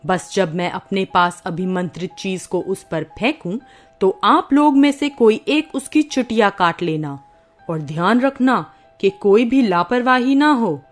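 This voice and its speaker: A commanding holy man of the village, voiced by a woman in a medium pitch for a female voice. He speaks with protective, urgent authority, like the sage of a bedtime moral tale, over faint music. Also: lightly acted. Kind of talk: dramatized moral-story narration.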